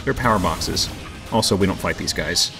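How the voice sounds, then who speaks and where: Low-voiced man joking over game music. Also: normal speed, creaky. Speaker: let's play host. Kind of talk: casual game commentary.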